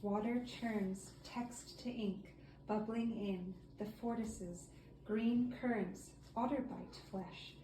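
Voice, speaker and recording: A woman's voice: medium pitch, steady tone, slow, mellow. A woman reading a poem aloud to others. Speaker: family member reading a poem. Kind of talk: poetry reading. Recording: echoey room, clear background noise.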